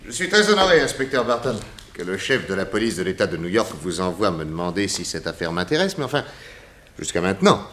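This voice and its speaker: A man's voice: deep voice, very animated, fairly bright tone, slight background noise. A man playing a shrewd, composed and courteous detective in a 1960s French radio drama. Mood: reserved, curious. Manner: measured, polite, authoritative. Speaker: actor in a radio crime drama.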